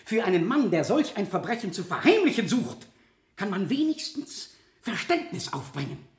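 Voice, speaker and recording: A relentless courtroom prosecutor in a vintage radio drama. His fairly high voice rises and falls sharply with cutting irony and theatrical insistence, ringing in a roomy, echoing space. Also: sing-song, normal speed. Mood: thundering accusation. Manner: theatrical, rhetorical, insistent cross-examining. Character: relentless male public prosecutor, scornful and cuttingly ironic. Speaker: actor in a radio play. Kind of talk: 1970 German radio drama courtroom acting.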